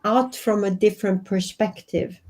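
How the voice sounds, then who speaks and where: A woman's voice: medium pitch, normal speed, darkish tone. A woman in her fifties from Sweden with a Swedish accent, speaking earnestly as a guest over a video call from her home office. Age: 50s. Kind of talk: reflective interview talk.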